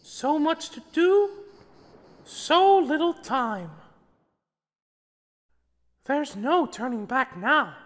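A woman voicing a mysterious, soothing guiding presence that speaks cryptically and deliberately in a fantasy dub. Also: high-pitched, lively intonation, heavily acted. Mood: cryptic, reassuring. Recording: long echoing reverb.

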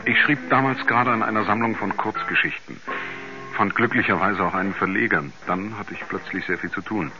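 An actor playing a writer soberly recounting his astronaut friend's story in a 1970s radio drama, in a measured, grave manner. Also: on the low side, lo-fi sound, close to an everyday voice. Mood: sober, foreboding. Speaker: narrator. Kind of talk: 1970s German radio play narration. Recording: band-limited 1970s radio recording with music.

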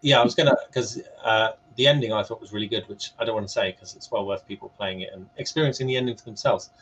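A man's voice: lowish voice, fast talker, fairly bright tone, slightly rough. A man moderating a panel conversation over a video call, asking questions in a hesitant, rambling way. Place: home room on a video call. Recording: dull compressed video-call audio.

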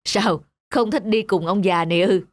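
A woman voicing a middle-aged father in an animated moral tale, patient, protective and earnest. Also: mid-range voice, normal speed, natural voice. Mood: conciliatory.

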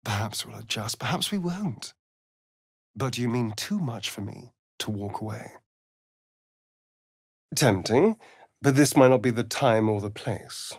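A man's voice: medium pitch, expressive, a little slow, pauses often, husky, no echo, clean studio sound. A man with an English accent playing a vain, sardonic aristocratic vampire rogue in a video game, theatrical and arch. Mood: earnest, pained, then dramatic, teasing.

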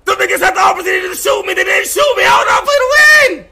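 A man's voice: very high voice, animated, fast, gravelly, shouting, crowd noise, background noise. An American man reacting to a game on a livestream, incredulous and profane, often repeating himself. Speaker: game streamer. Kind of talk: livestream gameplay reaction, roleplay.

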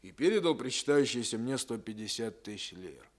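A man's voice: medium pitch, lively intonation, brisk, close to an everyday voice. Guy playing sulky defiant criminal in interrogation, old radio drama, mid voice, grudging talk. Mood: grudging confession. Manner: grudging, colloquial. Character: sullen, defiant male criminal under interrogation. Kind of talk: 1960s Soviet radio drama acting.

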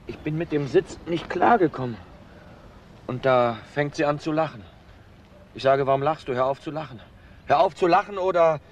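Troubled, halting man, acted out, noisy ambience. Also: on the high side, lo-fi sound, lightly acted. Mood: agitated. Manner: halting, groping for memories. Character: muddled, troubled younger male alcoholic suspect. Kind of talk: crime radio drama acting.

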